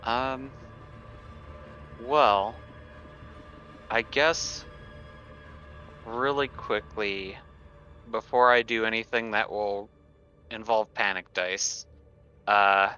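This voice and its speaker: A man's voice: fairly low pitch, fairly even intonation, drawn-out words, pauses often, sharp tone, lo-fi sound, soft background music. A man playing a tabletop role-playing game, talking casually and hesitantly as he thinks aloud.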